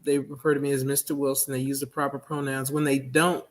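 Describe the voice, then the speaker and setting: A man with a soft, not very deep voice, answering interview questions over a video call in a hesitant, halting way. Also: level tone. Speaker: guest, minister.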